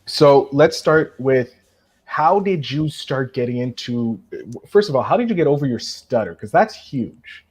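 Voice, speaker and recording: A man's voice: medium pitch, expressive, a little slow, a little mellow, slightly rough. A man hosting a podcast, introducing his guest in a showy announcer style. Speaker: podcast host. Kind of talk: upbeat podcast interviewing.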